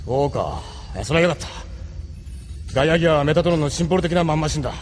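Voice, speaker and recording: Man playing a stern, quick-spoken ship captain. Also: a little high, slight background noise, natural voice. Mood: serious. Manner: authoritative, gruff. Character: stern, weary, responsible male ship captain. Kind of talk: anime audio drama acting.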